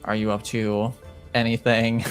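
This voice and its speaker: A man speaking as game master of a tabletop roleplaying game, improvising narration in a halting, deliberate way with pauses mid-sentence. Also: fairly low pitch, completely flat delivery, faint music behind.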